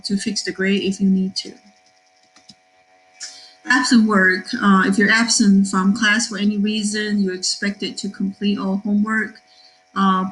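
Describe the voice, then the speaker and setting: A middle-aged woman from Taiwan, a math teacher, giving a cheerful class introduction with frequent ums and pauses. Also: medium pitch, low-quality recording. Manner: cheerful, with many fillers and pauses.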